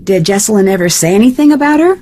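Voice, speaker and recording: An actress playing an inquisitive, composed young detective in a video game, probing and matter-of-fact. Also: female voice, medium pitch, lively intonation, fast, mellow, slight background noise, natural voice.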